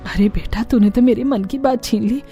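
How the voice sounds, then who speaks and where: A woman's voice: fairly high pitch, moderate pace, dark tone, faint music behind, natural voice. A woman voicing a bossy older mother-in-law who relishes her food, in a cartoon story, speaking in commands. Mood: relishing.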